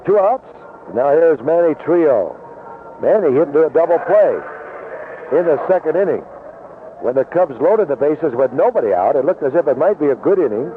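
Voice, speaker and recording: A man's voice: fairly high pitch, expressive, normal speed. An American man calling baseball play-by-play in the style of 1970s radio commentary. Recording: tinny noisy vintage AM radio broadcast.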